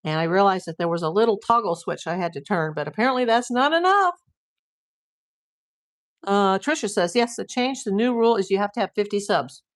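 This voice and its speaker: A woman's voice: mid-range voice, expressive, brisk. A middle-aged woman hosting a casual livestream, chatting and reading viewer comments while losing her voice. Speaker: livestream host.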